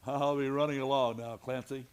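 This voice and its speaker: An actor playing a steady, commanding, fair-minded frontier marshal and narrator in a western radio play. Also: male voice, medium pitch, moderate pace, clean tone, natural voice. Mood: easygoing. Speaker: lead character and narrator.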